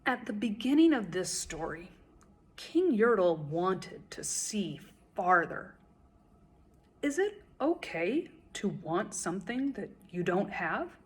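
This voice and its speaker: A woman reciting a children's story in verse as a storyteller, with expressive, dramatic and deliberate phrasing. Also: mid-range voice, slightly rough.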